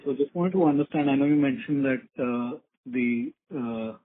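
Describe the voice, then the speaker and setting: Husky male analyst over the phone. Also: medium pitch, normal speed. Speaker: analyst caller. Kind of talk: asking questions on an earnings call. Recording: muffled narrow phone line.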